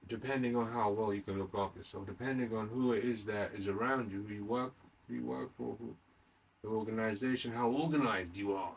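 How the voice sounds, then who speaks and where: A man in his late thirties hosting a solo podcast in a rambling, unscripted monologue, haltingly repeating and rephrasing himself and putting questions to the listener. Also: on the low side, creaky. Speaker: podcast host. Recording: distant mic with faint background noise.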